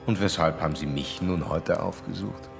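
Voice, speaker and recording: A man acting in a radio drama as a stern, self-assured psychoanalyst who lectures in formal, old-fashioned, measured diction. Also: low-pitched, vocal fry, music in the background. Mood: probing.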